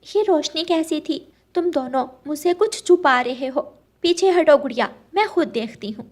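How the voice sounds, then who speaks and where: A woman's voice: sits high, animated, moderate pace. A woman voicing a caring but firm mother sparrow in a cartoon story, with a motherly way of talking. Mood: suspicious. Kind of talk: Hindi cartoon story dubbing.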